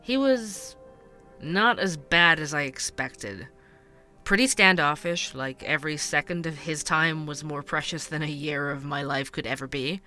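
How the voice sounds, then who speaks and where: A man's voice: fairly high pitch, a little slow, pushed and bright, faint music behind. A man playing a candid, wry investigative podcast host in an audio drama, speaking conversationally. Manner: conversational, wry, hesitant asides. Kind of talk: fictional podcast narration and dialogue.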